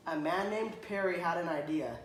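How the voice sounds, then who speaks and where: A man reading a children's picture book aloud to a child, stopping to point at the pictures and ask questions, and often clearing his throat. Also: on the high side. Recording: echoey room, faint background noise.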